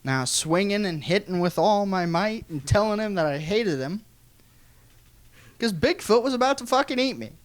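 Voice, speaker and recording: An American man telling a funny personal story in an animated, folksy way with some swearing. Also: high-pitched, normal speed, no echo. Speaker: guest storyteller.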